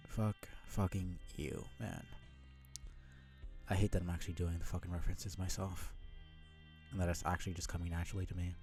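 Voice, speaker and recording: A man's voice: low voice, a little mellow. A man giving casual, rambling live-stream commentary in a blunt, sweary way. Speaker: streamer reading a webcomic aloud.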